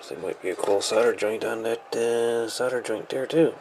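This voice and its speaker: A man's voice: fairly low pitch, a little mellow, a little hiss or hum. A man casually walking through a radio gadget's insides at a workshop repair bench, laid-back and thinking aloud with mumbled asides. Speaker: radio hobbyist reviewer. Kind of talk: casual equipment teardown walkthrough.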